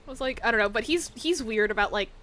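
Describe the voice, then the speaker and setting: Woman streamer, slight lisp, rambling with giggles, bright and fairly high, faint background noise. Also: fast talker. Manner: rambling, lots of fillers and giggles. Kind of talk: casual chat while gaming.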